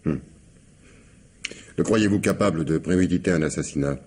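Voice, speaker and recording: An actor playing an insistent police commissioner in a radio mystery, gruffly courteous, muttering hesitations before abrupt questions. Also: male voice, rumbling low, normal speed, a little mellow. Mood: probing. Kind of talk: French radio mystery play acting.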